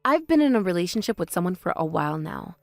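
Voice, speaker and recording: A woman voicing a calm, composed older sister who speaks in a measured, quietly cutting way in a voice drama. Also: fairly low pitch, dry recording, clean studio sound, soft background music. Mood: cool. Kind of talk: voice drama, one performer.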